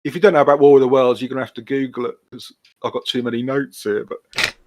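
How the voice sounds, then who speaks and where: A man's voice: medium pitch, steady tone, fast, darkish tone, slight background noise. A man chatting casually as a podcast co-host, with informal, loosely hesitant phrasing. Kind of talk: casual chat.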